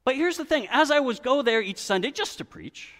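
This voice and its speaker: A man preaching a sermon as a pastor, telling stories in an animated, conversational manner. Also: very high voice, fairly quick. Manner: animated, conversational, acts out dialogue in quotes. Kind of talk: storytelling sermon. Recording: reverberant hall, church-like echo.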